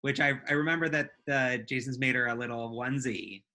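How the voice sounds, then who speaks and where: A woman's voice: very deep voice, fairly quick, sharp tone. A woman co-hosting a casual live podcast chat in a playful way. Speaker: podcast co-host.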